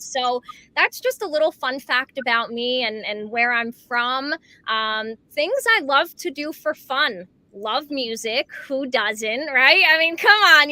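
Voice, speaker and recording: A woman's voice: a little high, sharp tone, dry recording. A woman, an effusive and talkative podcast guest telling her story conversationally. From born in Slovakia, grew up in America from age five. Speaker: podcast guest, life coach.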